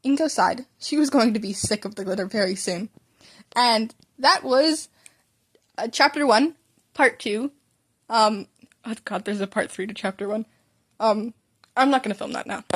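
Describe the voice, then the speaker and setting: A woman's voice: a little high, normal speed, pauses often. A woman narrating a story as an amateur reader, informal, often stumbling over words and correcting herself. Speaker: fan fiction reader and narrator.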